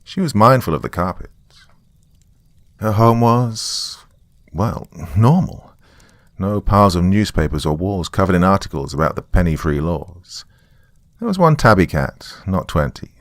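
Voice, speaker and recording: Man playing frightened writer, deep voice, weary, wry, conversational, dry recording, horror audio story. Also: big pitch swings, measured pace, no echo. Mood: wry, reminiscing. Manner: musing, wry, conversational asides. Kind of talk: first-person horror story narration.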